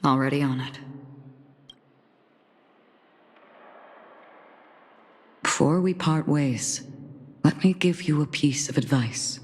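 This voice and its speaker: A woman voicing a solemn, composed female sword fighter in a video game, speaking in a measured, cryptic, philosophical way. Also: deep voice, darkish tone, husky. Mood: grave, calm. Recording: long reverb, music behind the voice. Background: game music.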